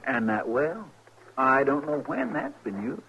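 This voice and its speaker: A man's voice: medium pitch, very animated, measured pace, pushed and bright. A man with a rural American accent playing a simple, kindhearted marshal's sidekick in an old-time radio drama, plain-spoken, drawling and deferential. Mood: puzzled. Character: folksy, simple, kindhearted marshal's helper in a western. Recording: muffled, noisy vintage radio recording.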